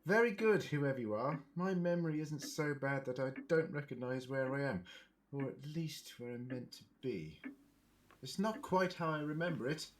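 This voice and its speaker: A man's voice: medium pitch, moderate pace, natural voice. A man playing an eccentric, ancient alien time traveller in an audio drama, theatrical and self-questioning, shifting between muttering and declaiming. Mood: indignant, determined. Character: eccentric thousand-year-old male alien time traveller, muttering, indignant.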